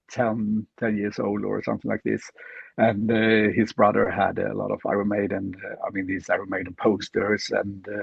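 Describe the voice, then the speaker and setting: A man's voice: lowish voice, creaky, slightly rough, no echo. A Swedish man, a guitarist, answering interview questions with a Swedish accent and lots of hesitant uhs and ums. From Sweden. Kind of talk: casual interview chat. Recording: dull, dry lo-fi sound.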